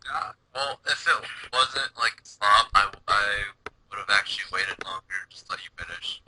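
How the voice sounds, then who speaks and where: A man's voice: fairly low pitch, moderate pace, bright, forceful tone, a little hiss or hum, low-quality recording. A young adult man in casual chat as a listener on a group voice call.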